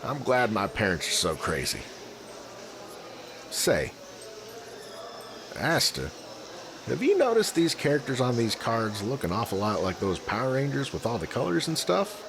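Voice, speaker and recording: A man's voice: mid-range voice, wildly swooping pitch, unhurried, long pauses. A man playing a friendly, easygoing young athletic hero in an audio drama, with casual, slangy delivery. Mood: friendly, relaxed. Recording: noisy background ambience.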